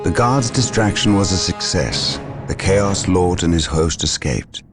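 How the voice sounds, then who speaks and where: A man playing a solemn, devout space marine advisor in a video game, formal and measured. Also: deep voice, music in the background. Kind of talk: dramatic video game acting.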